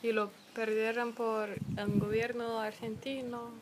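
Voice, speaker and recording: A woman with a fairly high voice, like a field researcher reading out questions haltingly over loud background noise. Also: level tone. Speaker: interviewer. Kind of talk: prepared interview questions.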